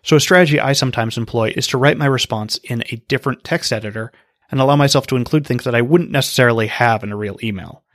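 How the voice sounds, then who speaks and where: A man hosting a podcast, giving calm, explanatory answers from a script. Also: medium pitch, fast, dry recording, clean studio sound. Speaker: podcast host.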